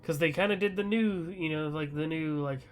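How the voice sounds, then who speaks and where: A man's voice: on the high side, normal speed. A man hosting a podcast, chatting casually about gaming news in a rambling, loosely conversational way. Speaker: podcast host.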